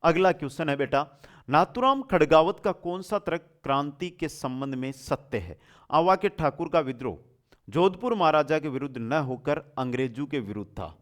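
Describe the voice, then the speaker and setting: A man's voice: fairly high pitch, expressive, moderate pace. A man teaching an exam-prep quiz lesson, reading out questions and explaining answers in a familiar, affectionate way with repeated key points.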